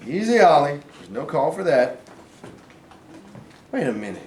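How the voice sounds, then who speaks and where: Man acting a gruff, fair sergeant, varied pitch. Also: big pitch swings, a little slow, darkish tone. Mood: firm, fair. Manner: folksy, plainspoken, hesitant phrasing. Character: gruff but fair male farmer turned Confederate sergeant. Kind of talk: amateur staged play reading. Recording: roomy, distant, faint background noise.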